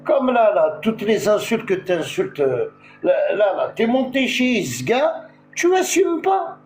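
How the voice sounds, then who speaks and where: A man's voice: sits high, big pitch swings, takes it slowly, darkish tone, reverberant, slight background noise. A man from Algeria, hosting a TikTok live and ranting at someone with many hesitations. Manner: confrontational, repetitive, full of hesitations. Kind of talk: heated live-stream rant.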